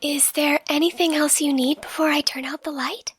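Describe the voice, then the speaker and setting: A woman voicing an affectionate catgirl girlfriend in a soft, breathy ASMR bedtime roleplay, gentle and reassuring. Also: high-pitched, steady tone, no room sound, close to an everyday voice. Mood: tender. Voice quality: soft, breathy, often whispered. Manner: tender, gentle, unhurried, reassuring. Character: affectionate catgirl girlfriend soothing her partner to sleep. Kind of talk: ASMR bedtime roleplay and slow storybook reading. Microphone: close microphone. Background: soft music.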